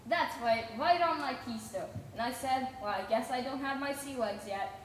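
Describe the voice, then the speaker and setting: An echoey hall with faint noise surrounds a gullible young bridegroom acted by a woman. The voice is fairly high, polite and guileless, in the earnest, lovestruck manner of stage musical comedy. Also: moderate pace, natural voice. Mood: dreamy, lovestruck.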